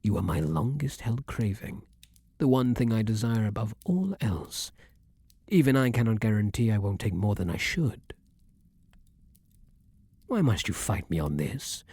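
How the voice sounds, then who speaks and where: A man's voice: fairly low pitch, sing-song, a little slow, long pauses, warm tone, breathy edge, no echo, clean studio sound, heavily acted. A man playing a vampire king, an ardent, protective lover with courtly, formal phrasing, in an intimate ASMR roleplay. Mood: tormented craving, then anxious, reproachful.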